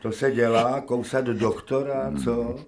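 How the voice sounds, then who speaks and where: An actor playing an authoritative professor of medicine in a radio drama, gruff yet kindly, speaking in commanding, pompous pronouncements. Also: male voice, mid-range voice, flat delivery, a little hiss or hum, close to an everyday voice. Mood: gruff scolding.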